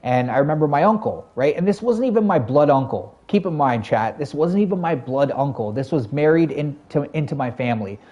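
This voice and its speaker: Mellow-voiced Canadian man rambling to chat. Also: on the high side, expressive, brisk, few pauses, muffled recording. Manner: rambling, lots of fillers and self-corrections, talks to chat. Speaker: game streamer. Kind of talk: casual storytelling to a live chat.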